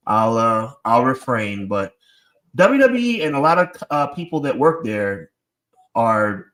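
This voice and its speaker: A man's voice: mid-range voice, a bit bright, low-quality recording. A man co-hosting a live wrestling podcast, chatting in slangy, rambling banter. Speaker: podcast co-host. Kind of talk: casual live-stream chat.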